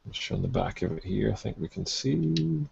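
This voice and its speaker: A man hosting a casual chat about collectible toys, with a husky, gravelly voice. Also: fairly low pitch, expressive, normal speed, a little mellow, muffled recording, a little hiss or hum. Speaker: podcast host.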